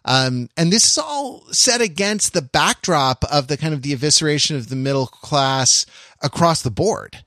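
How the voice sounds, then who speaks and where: An American man co-hosting a podcast, talking casually in an opinionated, halting, rambling way. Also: fairly high pitch, expressive, bright, forceful tone, dry recording, studio quality. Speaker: podcast co-host. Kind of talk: casual discussion.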